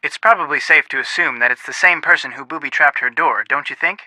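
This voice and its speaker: An eager young American sleuth from a mystery game, pitched on the high side for a guy. The voice is very bright and forceful, swinging freely with wisecracks, in a dry close recording. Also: brisk, dry recording. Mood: concerned, eager. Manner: expressive, wisecracking. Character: eager teenage American brothers, amateur sleuths, wisecracking and earnest. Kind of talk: video game phone dialogue acting.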